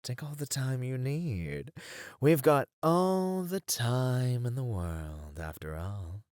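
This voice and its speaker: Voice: mid-range voice, sing-song, slow pace, a little mellow, dry recording, clean studio sound. A performer voicing a playful, smugly flirtatious demon in an ASMR roleplay, with a drawling, theatrical, teasing delivery. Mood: flirty, coaxing.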